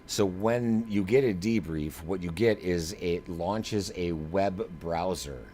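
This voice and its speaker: A man's voice: deep voice, slow pace, dark tone. A man giving live flight simulator demo commentary in a casual, slangy, emphatic way, like a streamer. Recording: clear background noise.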